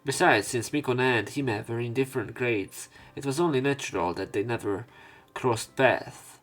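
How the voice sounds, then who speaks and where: A man's voice: mid-range voice, moderate pace. A man doing let's play commentary, reading game text aloud and adding informal, rambling, hesitant remarks. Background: soft game music.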